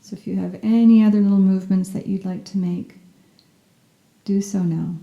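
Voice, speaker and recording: A soft-spoken woman guiding a yoga nidra meditation in a gentle, soothing and unhurried manner. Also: mid-range voice, fairly even intonation, gravelly, roomy sound, lo-fi sound. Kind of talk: guided meditation, scripted narration.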